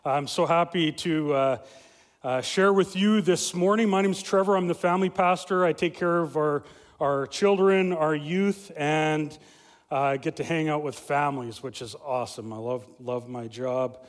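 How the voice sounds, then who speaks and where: High-voiced pastor preaching in a reverberant hall. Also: male voice, normal speed. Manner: loud, lively preaching with many fillers and restarts. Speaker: family pastor. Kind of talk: sermon. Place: church sanctuary.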